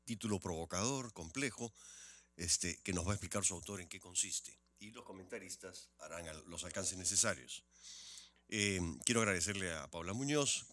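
A man's voice: deep voice, animated, a bit bright. An older man moderating a university book presentation, speaking formally at a podium mic in a lecture hall. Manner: measured formal speech with fillers. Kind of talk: formal event introduction.

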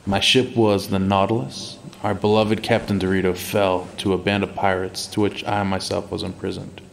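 A man playing a cold, reserved tall elf in a fantasy audio drama, speaking in a hushed voice. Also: low voice, level tone, moderate pace, creaky, a little hiss or hum, rough recording. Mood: cool, weary.

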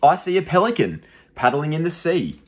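A man's voice: a little high, normal speed. Young man reading a rhyming picture book. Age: young adult. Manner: rhythmic, expressive rhyming read-aloud. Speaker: teacher. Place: small room indoors, seated on a sofa.

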